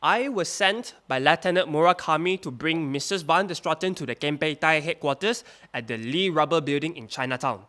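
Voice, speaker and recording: A man playing a halting war-crimes witness. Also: on the high side, pushed and bright. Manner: halting, plain testimony that climbs into agitated outbursts. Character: male wartime witness testifying at a trial. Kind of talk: audio drama acting.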